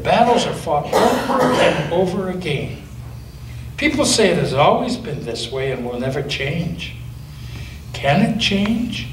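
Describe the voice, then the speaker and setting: A man's voice: on the high side, sing-song, measured pace, recorded from a distance, slight background noise. A male pastor reading aloud and voicing a timid, wondering little girl in a raised, hesitant, earnest way in an echoey church.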